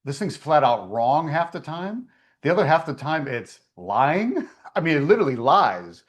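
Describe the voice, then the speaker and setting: A man's voice: fairly high pitch, big pitch swings, normal speed. A man hosting an online meeting, presenting in a chatty, storytelling way.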